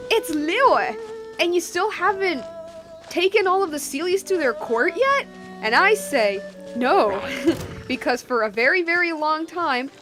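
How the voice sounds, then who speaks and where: A woman gives casual live commentary on a game stream. Her voice is on the high side, chatty and slangy with playful asides. Soft game music plays in the background.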